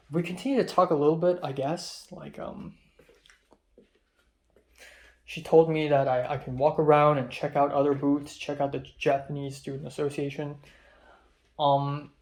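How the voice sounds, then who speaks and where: Young man from hong kong, native cantonese speaker, somewhat high voice, rambling casual vlog chat. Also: a little mellow. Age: young adult. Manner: rambling, chatty, frequent fillers and trailing-off asides. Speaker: college student vlogger.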